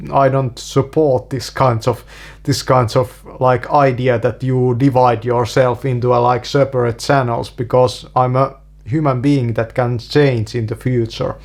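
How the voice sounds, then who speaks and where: A man from Finland with a Finnish accent, a native Finnish speaker talking in English, halting with many restarts and repeated fillers in a casual monologue. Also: mid-range voice. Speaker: video creator.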